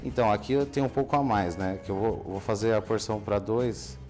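Man, thirties, chef, calm explanations, low voice, music underneath. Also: a little fast. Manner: calm, explanatory, ends phrases with "né?". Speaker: chef guest. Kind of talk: explaining a recipe on TV. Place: TV studio kitchen set. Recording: studio recording with music bed. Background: background music.

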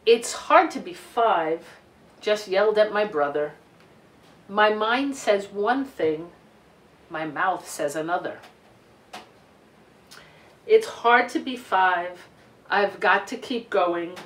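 A middle-aged woman reading a picture book aloud to children in an expressive, deliberate way with emphasized words. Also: mid-range voice. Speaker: children's storytime reader. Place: living room couch, indoors. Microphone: camera a few feet away. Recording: roomy home recording, distant microphone.

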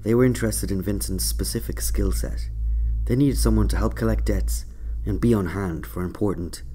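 A man's voice: fairly low pitch, normal speed, dark tone, a little hiss or hum. A man narrating a scripted autobiographical audio drama. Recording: prominent music under narration.

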